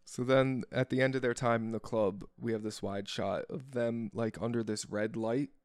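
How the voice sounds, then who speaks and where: A man's voice: medium pitch, steady tone, normal speed, a little mellow, no echo, studio quality. A man casually talking through a film's scenes with a co-host, describing shots and framing in detail. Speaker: podcast co-host. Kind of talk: casual film discussion.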